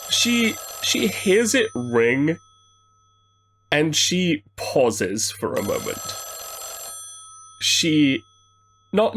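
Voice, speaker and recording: Guy player in a tabletop game, really slow and hesitant, pitch swings around a lot. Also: a little hiss or hum. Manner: hesitant, deliberate. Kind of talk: improvised third-person roleplay narration.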